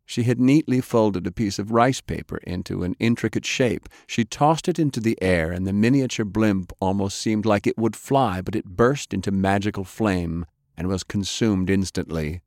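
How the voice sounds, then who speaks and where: A man's voice: lowish voice, moderate pace, few pauses, a little mellow, no echo, clean studio sound. A man reading adventure fiction as a dramatic audiobook narrator.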